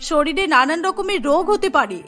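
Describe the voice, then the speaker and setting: A woman voicing a kindly, protective old village grandmother in a cartoon story, affectionate and gently admonishing. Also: very high voice, animated, a little fast, faint music behind, exaggerated voice. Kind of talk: cartoon character acting.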